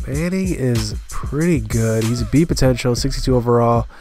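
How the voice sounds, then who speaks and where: A man with a stuffy, slightly congested voice talks through his game at an easy, mid-pitched level. He thinks aloud as he goes, filling pauses with "uh", while soft music plays faintly underneath. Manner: thinking aloud, relaxed, many fillers. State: stuffy, recovering from a cold. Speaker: gaming channel host. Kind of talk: casual gameplay commentary.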